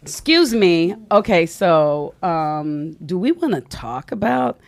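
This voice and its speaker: A woman's voice: on the low side, big pitch swings, slow, vocal fry, a little mellow, dry recording. Chatty giggly woman on a studio panel. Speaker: co-host. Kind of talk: casual studio banter. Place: small studio with a panel at a table. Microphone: desk microphone and headphones.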